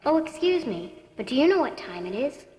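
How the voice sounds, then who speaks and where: A woman's voice: high voice, big pitch swings, a little fast, a little mellow, muffled recording. A woman voicing a worried eleven-year-old schoolgirl in a children's puppet show, flustered and exclaiming. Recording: echoey hall.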